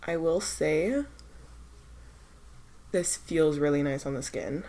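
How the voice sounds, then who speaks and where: A woman's voice: deep voice, slow pace, warm tone. A young woman vlogging about skincare samples, stuffed up from a cold, chatty and hesitant with lots of fillers as she thinks aloud. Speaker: beauty vlogger. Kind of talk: casual product-testing vlog.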